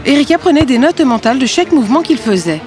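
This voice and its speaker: A woman's voice: high voice, sing-song, fast, music in the background. A woman narrating a scripted fairy tale for children.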